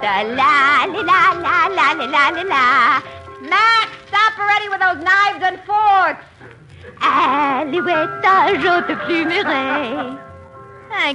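Woman cracking jokes slow n high, old radio show, music behind her. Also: poor audio. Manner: wisecracking, breaks into mock-French singing. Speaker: comic singer. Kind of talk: 1940s radio comedy monologue.

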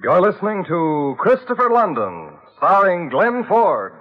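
A man's voice: high voice, sing-song, a little slow. A man announcing a radio drama in a mid-century broadcast style. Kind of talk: 1940s-50s radio announcing. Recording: dull old radio, faint music.

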